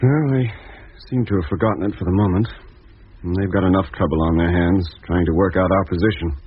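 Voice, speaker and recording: An actor playing a thoughtful, mild-mannered spaceship doctor in a 1950s radio drama, measured and conciliatory. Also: male voice, low voice, fairly even intonation, breathy edge. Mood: helpful, calm. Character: thoughtful, mild-mannered male ship's doctor, peacemaking and helpful. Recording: dull, muffled 1950s radio recording.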